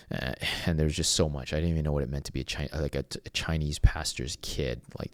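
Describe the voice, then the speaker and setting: A man's voice: rumbling low, darkish tone, no echo. A man from Venezuela hosting a podcast alone, telling a personal story in an earnest, reflective and rambling way. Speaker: podcast host. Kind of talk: solo podcast monologue.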